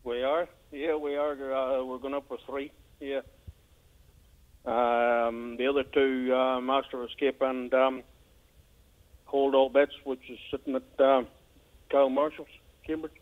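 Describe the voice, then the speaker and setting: An older man with a Northern Irish accent, a harness racing trainer, answering in a laconic, halting way with a dry sense of humour. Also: mid-range voice, level tone. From Northern Ireland. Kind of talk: phone interview. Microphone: phone. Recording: tinny phone line.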